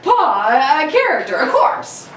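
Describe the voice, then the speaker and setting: A woman's voice: high-pitched, huge pitch swings, slow pace, fairly bright tone, heavily acted. A woman acting a vengeful, slyly genial male stage actor in an old-time radio suspense play, silky and theatrical. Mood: sly amusement. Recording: roomy echo, distant mic, faint noise.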